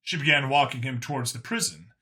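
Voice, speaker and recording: A man's voice: mid-range voice, brisk, bright, forceful tone. A man reading a science fiction story as a scripted audiobook narrator.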